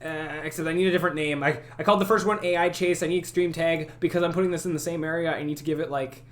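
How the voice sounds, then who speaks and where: A young man streaming his game development, chatting casually and thinking out loud as he works, often correcting himself. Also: on the high side, fairly even intonation, very fast. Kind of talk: casual live-stream chat while working.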